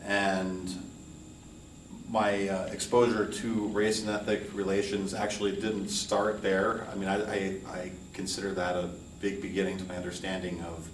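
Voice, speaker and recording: A middle-aged American man from New York and Hawaii, speaking as a college instructor in an informal, measured and earnest welcome lecture. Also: sits low, little pitch movement. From United States, New York City and Hawaii. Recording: roomy echo, distant mic, faint noise.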